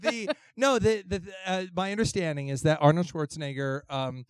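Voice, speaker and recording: A young man whose voice is worn hoarse, bantering and rambling in front of a live audience. His pitch sits a little high, with a resonant tone under the hoarseness. The sound is close and dry. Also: moderate pace, no echo. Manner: rambling and playful, many fillers and restarts. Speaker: co-host of a live panel show.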